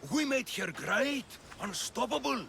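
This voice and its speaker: Very high-voiced cornered cult villain. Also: male voice, expressive, a little slow, vocal fry, sharp tone, slight background noise, exaggerated voice. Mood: pleading. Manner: grandiose, imploring. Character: cornered, pleading zealot cult villain, cowardly, self-important. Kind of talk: dramatic video game voice acting. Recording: music under the dialogue.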